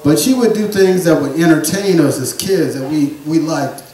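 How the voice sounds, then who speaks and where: A man telling rambling family stories in a stand-up set, with a soft voice he says he has. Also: a little high, moderate pace, very echoey, recorded from a distance, poor audio. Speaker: stand-up comedian. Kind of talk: storytelling stand-up comedy. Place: small theatre or bar stage.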